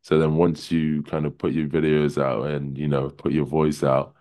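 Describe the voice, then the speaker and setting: A man with a remarkably low, deep voice, speaking as a guest on a casual podcast. He talks loosely and at length, often saying "kinda" and "you know" as he works through his points. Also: moderate pace. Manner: relaxed, rambling, many "kinda" and "you know".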